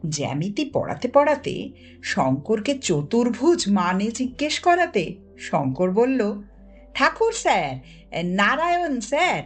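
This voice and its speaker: Slow, animated woman storyteller. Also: medium pitch, slightly rough. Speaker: audiobook narrator. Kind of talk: expressive children's story narration. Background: music.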